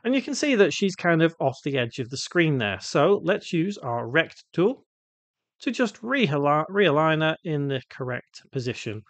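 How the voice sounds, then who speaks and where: A man hosting a software tutorial, explaining each step in an instructional voice-over. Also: fairly high pitch, sing-song, moderate pace, no echo. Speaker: tutorial host.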